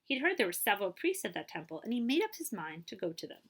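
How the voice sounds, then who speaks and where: A woman reading a children's story aloud as a librarian would, expressively and with suspense, dropping to a hush and stretching out key phrases. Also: medium pitch, sing-song, fast talker, breathy edge.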